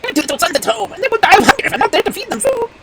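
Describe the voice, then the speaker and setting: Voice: extremely high pitch, very animated, racing, recorded from a distance, very noisy, pitched above the performer's own voice. A reader voicing a wild duck from a fairy tale, formal, imploring and grateful. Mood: pleading.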